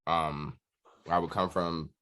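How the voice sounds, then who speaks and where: A man's voice: deep voice, steady tone, takes it slowly, fairly bright tone, clean tone. An American man giving a casual interview as a guest, telling stories in a candid, slangy way. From Washington DC area, United States. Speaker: musician guest, drummer.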